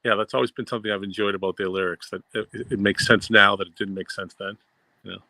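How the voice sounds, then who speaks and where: A man's voice: lowish voice, steady tone, fast, bright, forceful tone, no echo. A man with a Boston accent chatting as co-host, enthusiastic and rambling with fillers. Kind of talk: casual fan discussion.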